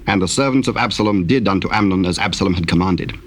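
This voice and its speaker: A man narrating a dramatized Bible, telling the story solemnly in measured, archaic English. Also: low voice, slight background noise.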